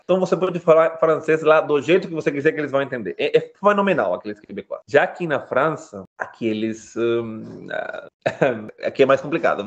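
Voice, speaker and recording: A man in his thirties from Brazil, speaking as an interview guest with a somewhat high voice. He gives frank, lively advice and keeps turning to address his listeners directly. The audio is a bit dull and lo-fi. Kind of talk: casual advice-giving interview.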